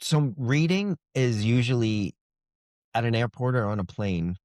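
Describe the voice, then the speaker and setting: A man's voice: mid-range voice, expressive, measured pace, a little mellow, no room sound, clean studio sound. A man speaking casually as an author being interviewed on a podcast. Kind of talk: casual chat.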